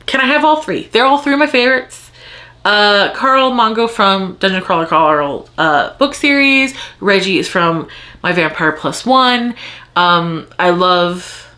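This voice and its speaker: A woman's voice: mid-range voice, expressive, a little slow, bright, forceful tone. Chatty, rambling woman book vlogger. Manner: rambling, self-interrupting, thinking aloud. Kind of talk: casual chat to viewers, answering tag questions.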